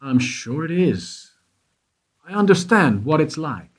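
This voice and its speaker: A man's voice: on the high side, big pitch swings, takes it slowly, heavily acted. A man acting in a radio play as a wry investigator, questioning in a probing, insistent way. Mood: wry, subdued.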